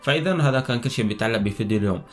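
A young man hosts a language-learning video at a very quick pace. He has a Syrian accent and Arabic as his native language. Light music plays behind his mid-pitched voice. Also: animated. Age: young adult. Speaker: host of a language-learning channel. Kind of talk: video presenting and interviewing. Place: small indoor room.

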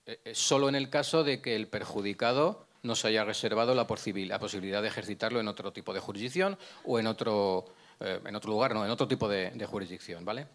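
A man's voice: on the low side, quick pace, a bit bright. A middle-aged man giving a conference lecture as a prosecutor, holding a microphone, explaining step by step and asking himself questions, with frequent restarts. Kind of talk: lecture with slides. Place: conference room, indoors. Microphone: handheld microphone.

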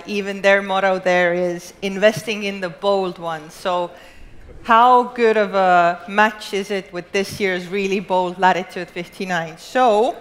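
Upbeat woman moderator in a reverberant hall. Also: mid-range voice. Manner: upbeat, energetic. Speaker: event moderator. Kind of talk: introducing a speaker on stage. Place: large conference hall.

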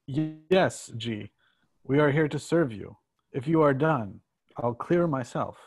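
A man in a play reading, playing a polite, servile talking smart table with a halting, apologetic, sometimes sing-song manner. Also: medium pitch, animated. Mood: eager to serve. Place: indoors at home, on a video call.

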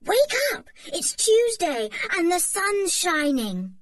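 A woman's voice: high-pitched, sing-song, measured pace, husky, dry recording, pitched above the performer's own voice, exaggerated voice. A woman doing an exuberant schoolboy. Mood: excited. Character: cheerful energetic older boy.

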